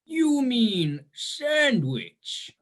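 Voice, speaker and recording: Man acting elderly radio-ad grandpa, folksy, extremely slow, swooping intonation, noisy recording. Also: extremely high pitch, wildly swooping pitch, a bit bright, heavily acted. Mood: puzzled, savouring. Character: doddery old grandpa with false teeth. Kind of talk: mock old-time radio advert acting.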